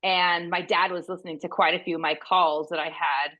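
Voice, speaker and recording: A woman who works as a veterinary business consultant, speaking as an interview guest in a loud, intense, passionate way. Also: fairly low pitch, flat delivery, fairly quick, lo-fi sound.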